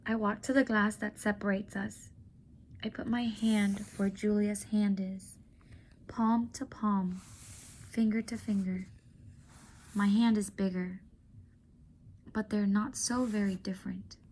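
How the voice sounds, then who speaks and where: A woman teacher reading a novel aloud to her students, often softly whispering and stopping for emotional asides. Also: mid-range voice, steady tone, slow pace, long pauses, slight background noise.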